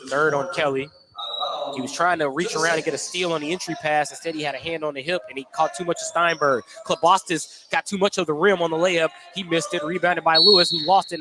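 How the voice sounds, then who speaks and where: A man's voice: a little high, fairly even intonation, a little fast, a crowd in the background. A man doing play-by-play for live basketball radio, describing each play in running detail. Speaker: play-by-play sports announcer. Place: indoor high school gym. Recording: noisy live gym broadcast.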